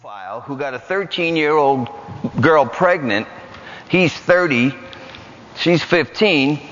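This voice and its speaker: A man with a New York accent preaching a lively, joking sermon in a church. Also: on the high side, slow, faint music behind. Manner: animated, joking, repetitive. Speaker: guest preacher. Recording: muffled church hall recording.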